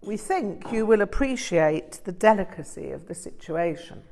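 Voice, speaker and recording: A woman's voice: lowish voice, expressive, moderate pace, gravelly, a little mellow. A woman voicing a self-serving male monk delegate in a storybook reading, hesitant and delicately insinuating. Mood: wheedling, awkward.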